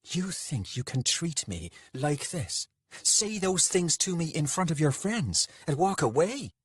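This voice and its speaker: A man's voice: on the high side, big pitch swings, unhurried, breathy edge, no echo, clean studio sound, pitched above the performer's own voice, full character voice. A man voicing an angry, wounded woman in an audiobook, indignant, seething and emphatic. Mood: furious. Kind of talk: dramatised audiobook dialogue.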